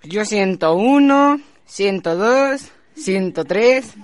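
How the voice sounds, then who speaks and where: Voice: mid-range voice, lively intonation, extremely slow, crowd noise. A performer playing a cocky, teasing teenage boy with a Mexican accent in a radio drama. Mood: joking.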